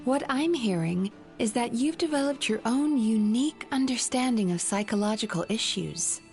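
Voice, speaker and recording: A woman voicing a composed, firm therapist in a video game, speaking in a measured, professional way. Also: on the high side, expressive, darkish tone, soft background music. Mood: composed, probing.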